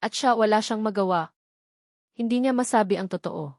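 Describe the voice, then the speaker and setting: A woman reads a scripted romance drama as its narrator. Her pitch is middling for a woman. The recording is dry, with hardly any room sound. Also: normal speed, a little mellow, no echo. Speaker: story narrator.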